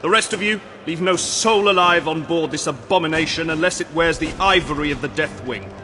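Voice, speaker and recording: A man acts a fierce, dutiful armored officer in a sci-fi audio drama. His high-pitched, sharp voice barks clipped military orders. It rings with long cavernous reverb. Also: brisk. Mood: ruthless. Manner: clipped, commanding military delivery. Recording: long cavernous reverb, faint battle noise.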